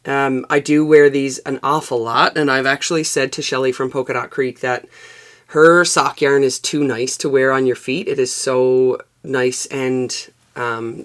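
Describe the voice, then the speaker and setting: A woman, hosting a knitting vlog in relaxed, rambling chat. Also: rumbling low, unhurried. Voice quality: raspy. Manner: relaxed and rambling, with frequent fillers. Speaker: knitting podcast host. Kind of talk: casual chatty knitting vlog. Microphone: external microphone.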